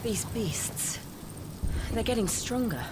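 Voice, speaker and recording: An actress playing a steadfast, caring young warrior in a video game drama, earnest and resolute. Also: female voice, on the high side, animated, slow pace, background noise. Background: orchestral music.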